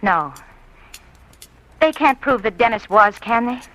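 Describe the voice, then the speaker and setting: Nervous young woman, stammers a lot, fairly high bright voice, old radio drama. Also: animated, measured pace, dull audio. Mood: defensive. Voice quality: breathless, stammering. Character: anxious young woman in love, secretive. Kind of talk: 1940s radio acting.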